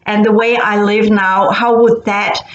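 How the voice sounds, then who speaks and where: A woman from Denmark, not a native English speaker, talking casually as host and often pausing to search for words. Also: mid-range voice, level tone, slight background noise. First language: Danish. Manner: hesitant, searching for words with many fillers and restarts. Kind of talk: casual explanatory talk. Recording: echoey, dull-sounding room recording.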